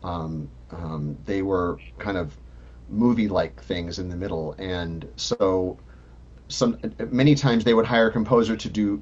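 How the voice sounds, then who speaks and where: Male composer, low husky voice, relaxed explainer, muffled lo-fi audio. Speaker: composer guest. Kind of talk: casual interview talk.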